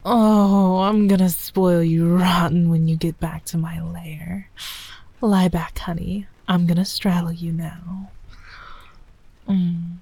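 A woman voicing a doting, possessive motherly female dragon in an intimate audio roleplay, speaking in a soft breathy whisper. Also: on the low side, level tone, slow pace, no room sound, full character voice. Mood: tender whisper.

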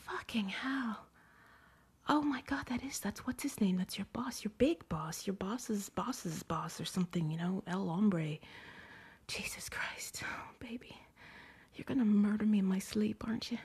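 Woman, whispering, very breathy and husky, hushed, playful, teasing, intimate roleplay. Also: medium pitch, unhurried.

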